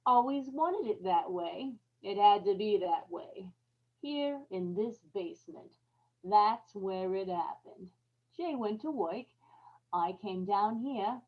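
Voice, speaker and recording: An actress playing a guilt-haunted, murderous young wife in a brooding, confessional old-time radio horror monologue. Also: female voice, mid-range voice, narrow, tinny audio. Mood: feverish, gloating. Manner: dramatic, brooding confessional with repeated phrases.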